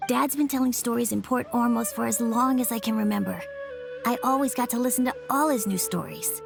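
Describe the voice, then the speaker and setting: A woman playing an earnest, gentle young daughter in a video game, speaking in a childlike, hesitant and sincere way. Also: fairly high pitch, a little fast, creaky, over music. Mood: wistful confession.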